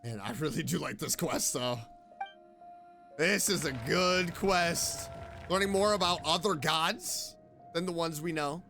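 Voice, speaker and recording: Higher-pitched man, casual game streamer. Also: a little slow, creaky, pushed and bright. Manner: informal, reactive. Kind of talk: casual gameplay commentary. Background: game music.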